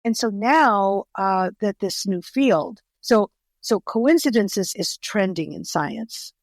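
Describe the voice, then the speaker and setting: A woman's voice: medium pitch, animated, slow, no room sound. Greek-born woman, dry close sound. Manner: earnest, restarts and repeats words often. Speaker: author and podcast guest. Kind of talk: conversational interview storytelling.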